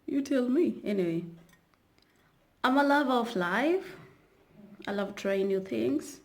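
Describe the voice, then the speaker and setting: A woman's voice: on the high side, lively intonation, slow pace. A woman from Kenya chatting casually to her viewers in a rambling vlog, with many fillers and restarts. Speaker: YouTuber. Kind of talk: casual vlog talk to camera.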